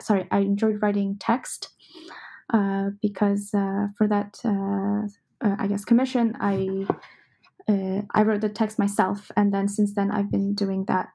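A young woman talks through her work in a relaxed interview, pausing often and filling gaps with soft "uh"s. Her voice is mellow and dark, with a gravelly fry, and her pitch stays fairly flat. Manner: thoughtful, many "uh" fillers. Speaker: composer, interview guest. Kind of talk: casual interview answers.

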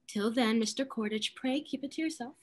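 An actress playing a proud, disdainful, sharp-tongued young lady in a period stage comedy, cool and pointed. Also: a little high, brisk, natural voice. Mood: cool, mocking. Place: indoors at home on video call.

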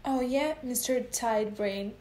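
A woman's voice: on the high side, slow pace, a little mellow. Woman acting a feisty old lady racer, echoey. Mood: bickering. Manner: taunting, folksy. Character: feisty old woman race driver, bickering and mocking. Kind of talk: comedic radio play acting. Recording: echoey, noisy amateur recording.

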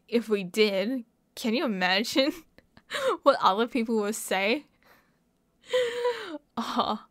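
A young woman with an Australian accent playing an excitable, mischievous college friend in a casual audio roleplay. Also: fairly high pitch. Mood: amused, nostalgic. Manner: playful, giggly, slangy. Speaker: roleplay voice performer. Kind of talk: ASMR roleplay acting, casual chat to a friend.

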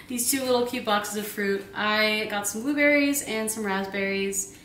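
A woman's voice: mid-range voice, unhurried, a bit bright, slight background noise. A young woman in her twenties chatting casually through a grocery haul at home, bubbly and giggly, rambling with lots of fillers. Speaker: vlogger. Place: home kitchen, indoors. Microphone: camera a few meters away. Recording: roomy kitchen sound, camera at a distance.

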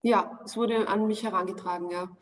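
A woman's voice: medium pitch, steady tone. Austrian woman talking thoughtfully on a call. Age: 40s. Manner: thoughtful, searching for words with many pauses. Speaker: interview guest, actress. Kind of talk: casual video-call interview. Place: home room indoors. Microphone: video call.

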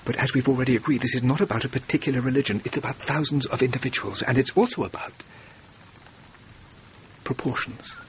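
An actor playing an earnest, self-doubting male architect in a radio drama, with a halting, thoughtful delivery. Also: medium pitch, dull audio, a little hiss or hum, close to an everyday voice. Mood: earnest, visionary. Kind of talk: BBC radio drama acting.

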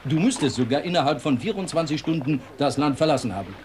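Actor as officious clerk, highish male voice. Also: expressive, quick pace, background noise, natural voice. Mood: dismissive. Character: officious, rule-bound police office clerk. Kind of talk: German radio play acting.

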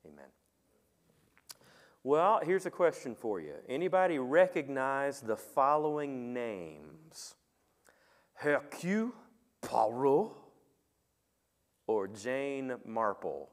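A middle-aged man preaching a sermon as pastor in a church sanctuary on a headset mic, talking with the congregation and asking them questions, and praying quietly. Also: mid-range voice, big pitch swings, slow pace, long pauses. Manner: conversational, asks the congregation questions; soft, quiet when praying. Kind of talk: sermon with opening prayer. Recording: long reverb of a church hall.

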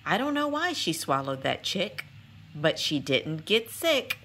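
A woman reads a picture book aloud for children. Her voice is bright and clear-cut, and her pitch moves up and down in an animated way. She keeps a rhythmic, sing-song storytelling delivery. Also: unhurried, a little hiss or hum. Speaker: read-aloud channel host.

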